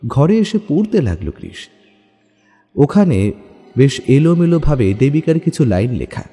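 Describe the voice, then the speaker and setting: A man's voice: fairly high pitch, sing-song, unhurried, mellow, husky, long reverb tail, faint music behind. A man narrating a scripted detective story in a dramatic style.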